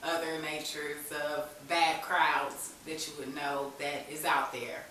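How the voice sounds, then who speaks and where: A woman's voice: low-pitched, little pitch movement, slow pace, pushed and bright. A woman speaking as an interview guest, telling her own story candidly and informally in conversation. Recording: very echoey room, distant mic, faint hiss.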